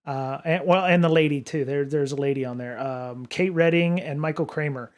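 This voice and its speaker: Man, slightly higher voice, casual livestream host, rambling, lots of ums and restarts, home studio mic. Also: normal speed. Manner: rambling, many fillers and restarts. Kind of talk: casual livestream chat reading viewer comments. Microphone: studio microphone at desk.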